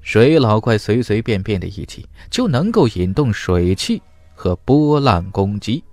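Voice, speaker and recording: A man's voice: on the low side, sing-song, unhurried, a little mellow, no room sound, soft background music. A man reading a fantasy audiobook as narrator, in a dramatic scripted storytelling style.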